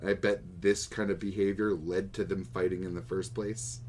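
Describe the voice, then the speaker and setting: Male podcast co-host, low voice, casual and opinionated, light background noise. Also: little pitch movement, moderate pace, a little mellow. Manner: opinionated, musing, swears freely. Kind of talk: casual chat about manga.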